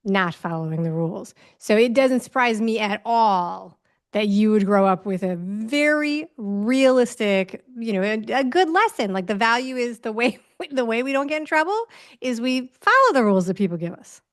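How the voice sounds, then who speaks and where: A woman's voice: fairly high pitch, lively intonation, normal speed. A woman hosting an interview in a conversational style, chatty and lightly amused, slipping in explanatory asides. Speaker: interview host.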